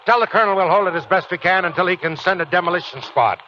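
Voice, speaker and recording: An actor playing a gruff, commanding ex-Marine sergeant who gives orders in folksy soldier talk, in 1940s radio drama style. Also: male voice, high voice, fast talker, over music, close to an everyday voice. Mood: brisk command. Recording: noisy, muffled 1940s radio broadcast recording.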